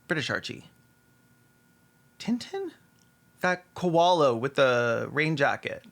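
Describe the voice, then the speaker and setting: Guy doing snarky scripted commentary, kinda high voice, lots of vocal fry. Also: fairly bright tone. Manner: sarcastic, wry. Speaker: video essay narrator.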